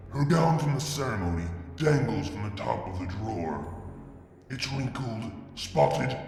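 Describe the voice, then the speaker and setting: Slow, gravelly male story narrator. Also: medium pitch. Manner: measured, dramatic reading. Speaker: fanfiction reader. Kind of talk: dramatic first-person story narration. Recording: echoey room with long reverb and faint music.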